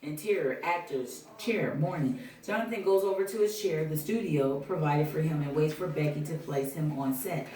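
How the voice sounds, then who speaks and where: A woman's voice: low voice, normal speed, few pauses, mellow. A woman reading out scene headings and stage directions as scripted narration at a film table read. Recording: roomy, distant mic.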